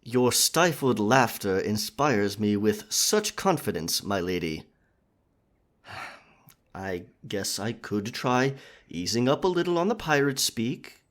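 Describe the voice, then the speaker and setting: A man's voice: fairly low pitch, big pitch swings, slow pace, fairly bright tone, heavily acted. A man voicing an earnest, courteous royal knight in a scripted roleplay audio, speaking formally and chivalrously. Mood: cautious planning. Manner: formal, chivalrous, wordy speech.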